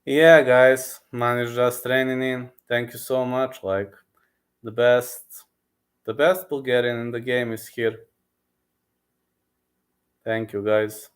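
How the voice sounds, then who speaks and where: Excited man with a Balkan accent. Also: mid-range voice, slow, long pauses. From Serbia. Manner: enthusiastic, repetitive, keeps calling listeners man and bro. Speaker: live-stream host. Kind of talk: casual live-stream chat.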